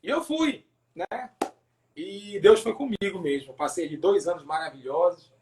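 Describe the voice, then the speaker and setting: Guy from pará w northern brazil accent, kinda high voice, pastor telling his story on a podcast, repeats himself a lot. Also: noisy background. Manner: halting, repeats words, frequent tag questions. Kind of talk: personal testimony in casual interview.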